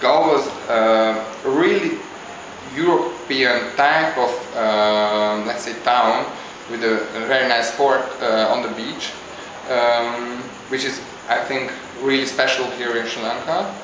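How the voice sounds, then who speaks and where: Halting man, echoey. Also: mid-range voice, fairly even intonation, noisy background. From Hungary. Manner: halting, searches for words. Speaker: interviewee, visiting traveler. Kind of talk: casual spoken interview answers. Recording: very echoey with clear background ambience.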